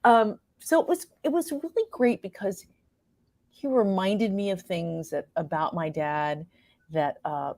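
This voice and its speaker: A woman's voice: medium pitch, measured pace, darkish tone, no room sound, clean studio sound. A woman speaking as an interview guest about creative work, in a casual, effusive and appreciative conversational way. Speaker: playwright and performer, interview guest.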